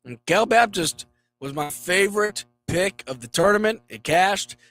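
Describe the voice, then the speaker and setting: A young man talking sports on a radio show, his voice hoarse from shouting, joking in loose banter. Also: sits high, expressive, unhurried, faint music behind. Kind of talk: casual sports chat. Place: radio studio. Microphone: studio mic.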